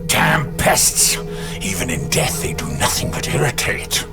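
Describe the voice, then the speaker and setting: A man's voice: mid-range voice, very animated, unhurried. A man playing an irritable veteran tech marine in a video game, grumbling with dry sarcasm. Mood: irritated. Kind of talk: dramatic video game acting. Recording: loud music and machinery behind the voice.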